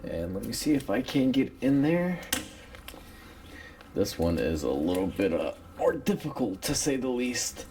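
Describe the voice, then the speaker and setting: Raspy man with a cold, rambling. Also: mid-range voice, a little slow. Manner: relaxed, rambling with many uh fillers. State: has a cold. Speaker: mechanic demonstrating a repair. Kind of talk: casual how-to tutorial narration.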